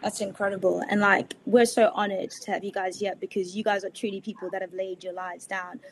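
A woman's voice: medium pitch, flat delivery, brisk, a little hiss or hum, low-quality recording. A woman moderating a video call panel, effusive and upbeat, with lots of ums. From South Africa. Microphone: video call webcam.

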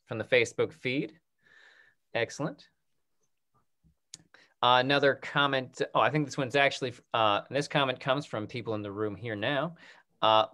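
A man around his thirties moderating a casual question-and-answer session on a video call, restarting phrases in a halting way. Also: medium pitch, fairly bright tone. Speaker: moderator of a panel discussion. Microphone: video call computer microphone.

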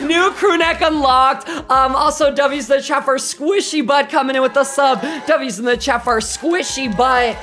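A man in his twenties livestreaming, chatting to his viewers in an effusive, animated, campy way. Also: extremely high pitch. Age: 20s. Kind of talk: hyped casual chat to live viewers. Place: small bedroom streaming setup, indoors.

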